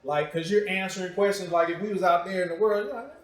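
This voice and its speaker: In a noticeably echoey room, a man with a fairly high voice hosts a casual interview. He talks informally, with slang and a teasing, joking streak. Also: quick pace. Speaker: talk show host.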